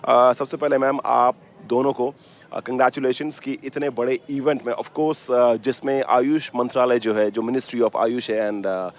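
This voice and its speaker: A man's voice: mid-range voice, steady tone, measured pace. A man hosting live event coverage for radio, mixing in English, with hesitant, effusive presenter patter. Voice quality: mixes English phrases into Hindi. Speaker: radio station host and interviewer at an expo. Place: busy expo grounds.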